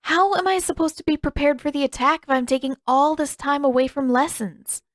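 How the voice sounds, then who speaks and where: A woman's voice: sits high, a little fast, no room sound, studio quality, voice pushed up, lightly acted. A woman voicing a spirited, impatient teenage waterbender girl with a playful, pouty manner in an audiobook. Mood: pouting. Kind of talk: audiobook character dialogue.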